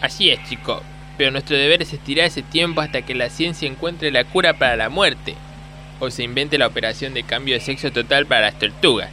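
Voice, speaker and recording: A man playing a patronizing scientist in a radio spoof. He has a very bright, forceful voice at middling pitch, matter-of-fact, with faint traffic noise around him. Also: brisk, close to an everyday voice. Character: pragmatic male scientist, patronizing, coolly explaining absurd plans.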